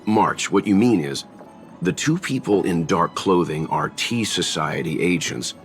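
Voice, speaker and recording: A man playing a calm, logical young companion in a video game, with an understated, deadpan and matter-of-fact delivery. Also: low-pitched, unhurried, faint music behind. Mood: dry, skeptical.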